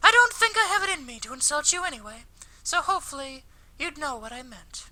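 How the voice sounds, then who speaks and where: A man voicing a kind, earnest high school girl, politely apologetic and gently teasing, in a visual novel. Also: lively intonation, fairly quick, heavily acted, rough recording. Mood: earnest, encouraging. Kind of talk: visual novel character read aloud.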